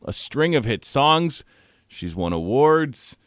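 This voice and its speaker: A man's voice: mid-range voice, big pitch swings, slow pace, fairly bright tone, no echo, studio quality. A man hosting a radio show, eager and a little nervous, talking like a fan to his guest. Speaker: radio host. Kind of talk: radio interview.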